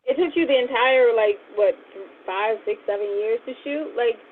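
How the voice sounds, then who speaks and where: A woman with a slightly high voice asks questions in a relaxed interview style. The audio is narrow and phone-like with a little noise. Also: moderate pace. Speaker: host, interviewer. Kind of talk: casual interview chat. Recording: tinny phone-like sound, faint noise.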